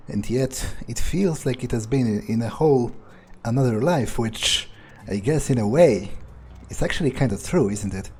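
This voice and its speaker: A man acts out a scornful male vampire in a game roleplay, thinking aloud in a dark, mellow voice with a husky edge. He is sardonic and profane, muttering and halting as he goes. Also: mid-range voice, animated. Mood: musing, scornful. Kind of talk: improvised in-character game roleplay.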